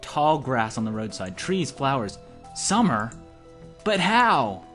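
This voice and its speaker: A man's voice: medium pitch, very animated, takes it slowly, a bit bright, soft background music. A young man, an amateur, reading a visual novel aloud with casual banter and stumbling over words as he goes. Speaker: let's-play co-host.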